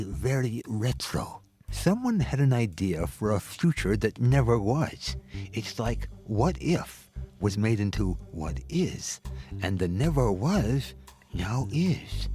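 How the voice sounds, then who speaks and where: An actor playing a vain, gossipy rodent nightclub proprietor in an audio drama, boastful and conspiratorial. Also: male voice, on the low side, warm tone, full character voice. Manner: boastful, conspiratorial, sometimes dropping to a whisper. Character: vain, chatty rodent club proprietor, male, sly gossip. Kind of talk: theatrical audio drama storytelling.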